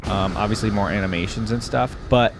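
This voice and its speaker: A man's voice: lowish voice, a little fast, background noise. A man giving casual, off-the-cuff reaction commentary, like a video creator talking through what he sees.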